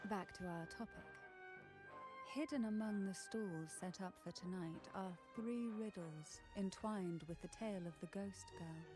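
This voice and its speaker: A woman's voice: mid-range voice, a little slow, natural voice, low-quality recording. An actress playing an enigmatic, alluring woman in a video game, speaking with teasing, theatrical elegance. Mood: sly, enticing. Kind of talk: scripted video game dialogue. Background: game music.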